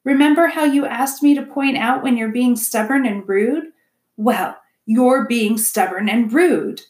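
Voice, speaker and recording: A woman's voice: a little high, a little slow, darkish tone, echoey room, pitched above the performer's own voice, natural voice. A woman voicing a sensible, bossy beaver wife who scolds and stays courteous, in a children's storybook. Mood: exasperated.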